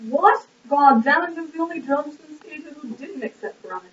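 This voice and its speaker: A woman's voice: high-pitched, normal speed, close to an everyday voice. A woman playing a blunt, indignant, argumentative nobleman in an amateur puppet-show stage play. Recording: echoey, muffled, distant lo-fi recording.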